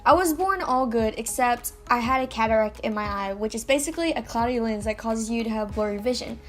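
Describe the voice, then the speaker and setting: A woman's voice: fairly high pitch, a little fast. A teenage girl from Louisiana narrating the story of her life in a chatty way, often saying "like". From Louisiana, USA. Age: teens. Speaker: YouTuber. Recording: music playing underneath.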